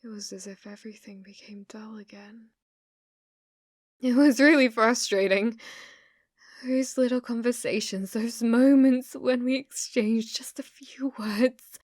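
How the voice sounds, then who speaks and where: A woman's voice: a little high, steady tone, unhurried, no room sound. A British woman playing an obsessive, delusional young female stalker in an ASMR roleplay, intimate and sweetly menacing, close to the microphone. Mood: brooding, wistful. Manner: intimate, unhinged, swinging between whispers and intense pleading.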